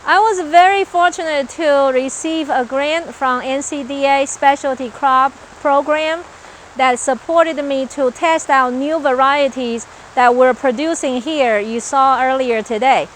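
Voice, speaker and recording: A woman's voice: high voice, unhurried, slight background noise. A woman from Taiwan with a Taiwanese accent, an agricultural researcher explaining her farm work in an earnest, list-like way with some hesitations, speaking outdoors on a farm. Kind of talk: explanatory interview talk.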